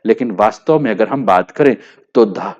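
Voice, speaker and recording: A man's voice: fairly low pitch, expressive, moderate pace, a little mellow, lo-fi sound. A man with an Indian accent teaching in an explanatory lecture style, didactic, often rephrasing his points and leaning on verbal tags. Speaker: teacher of current affairs for exam students. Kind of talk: explanatory lecture in Hindi with English terms.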